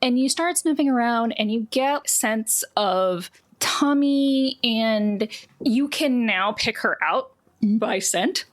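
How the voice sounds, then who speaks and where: A woman's voice: fairly high pitch, slow pace. A woman game master narrating a tabletop game in a casual, improvised, playful way.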